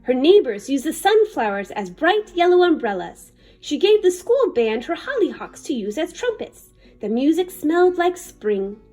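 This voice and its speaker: High, animated woman reading a story. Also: moderate pace, soft background music. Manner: animated, dramatic. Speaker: children's story reader. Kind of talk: expressive picture-book narration.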